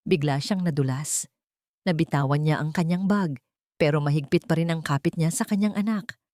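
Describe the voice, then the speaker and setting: A woman's voice: low-pitched, normal speed, darkish tone, no room sound, clean studio sound. A woman reading a scripted dramatic story as narrator.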